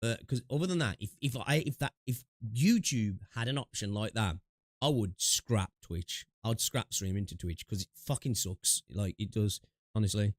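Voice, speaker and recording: A man's voice: lowish voice, expressive, normal speed, dry recording, studio quality. A man streaming games, chatting loosely with restarts, fillers and swearing, sniffling from allergies. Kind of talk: casual gaming stream chat.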